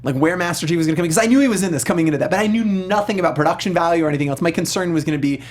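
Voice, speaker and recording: A high-voiced man talks quickly as a co-host in a casual review chat. He gives his opinions emphatically and informally. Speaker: talk show co-host. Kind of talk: casual film review discussion.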